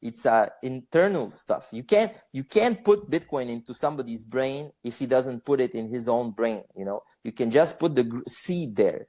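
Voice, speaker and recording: A man's voice: medium pitch, animated, moderate pace, few pauses, dark tone. A young man born in Bosnia, guest on a podcast, chatting casually in a rambling way, with frequent fillers, restarts and swearing. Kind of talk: casual interview chat.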